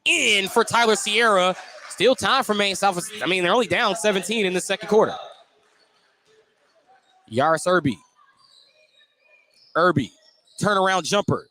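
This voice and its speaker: Higher-voiced American man calling basketball. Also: lively intonation, normal speed, long pauses, sharp tone. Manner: clipped, descriptive running call. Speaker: play-by-play announcer. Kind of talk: live radio basketball commentary.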